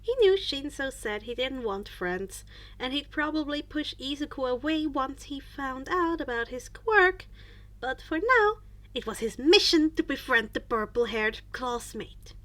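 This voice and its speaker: A woman reads fiction aloud in a high, animated voice. She takes her time, narrating expressively from a script with plenty of movement in her intonation. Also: a little slow. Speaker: podfic narrator.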